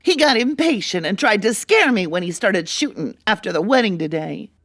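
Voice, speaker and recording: An actress playing a scheming, cold-hearted bride in an old-time radio comedy, sugary on the surface and hard underneath. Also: female voice, medium pitch, expressive, moderate pace, a bit bright, dry recording, clean studio sound. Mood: cold menace.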